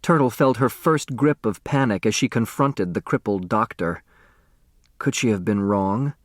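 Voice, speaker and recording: A man's voice: fairly low pitch, a little slow, no room sound, studio quality. A man narrating a story from a script, in the dramatic style of an audiobook.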